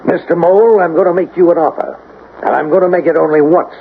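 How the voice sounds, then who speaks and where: An American actor playing a firm, skeptical army general in a radio drama, with brisk, authoritative military delivery on an old broadcast. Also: male voice, on the high side, fairly even intonation, creaky. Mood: stern, threatening. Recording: noisy, narrow-band old radio broadcast.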